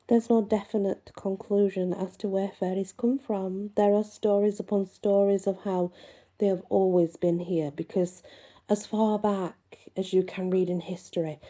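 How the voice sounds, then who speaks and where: A woman host reading folklore aloud and musing on it, with deliberate, emphatic phrasing. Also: medium pitch, fairly even intonation, warm tone. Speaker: host and reader. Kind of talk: reading a folklore book aloud with casual asides.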